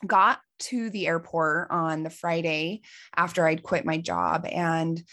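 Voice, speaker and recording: A woman in her forties, a life coach hosting her podcast, telling a personal story in an earnest, heartfelt way, her voice a little hoarse from allergies. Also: low-pitched, steady tone, slow pace, a bit bright. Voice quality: slightly raspy.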